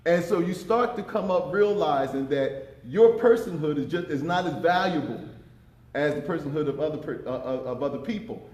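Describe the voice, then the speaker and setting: A man in his fifties with a deep voice, speaking on a conference panel in an impassioned, emphatic way, repeating words as he presses his points. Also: moderate pace, a little mellow. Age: 50s. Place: conference room. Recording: echoey conference room.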